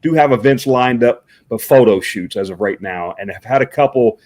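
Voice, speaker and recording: Booming-voiced man talking as a guest. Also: normal speed, a little mellow. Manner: booming voice, filler-heavy with uhs and restarts. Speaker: professional Santa Claus performer, guest. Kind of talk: casual interview answers.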